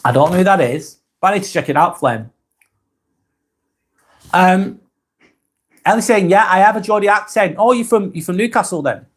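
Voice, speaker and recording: A man from Lancashire with a Lancashire accent, hosting a casual live stream and reading viewer comments aloud in a soft, hesitant way. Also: high-pitched, expressive, normal speed, long pauses, slightly rough. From Lancashire, England.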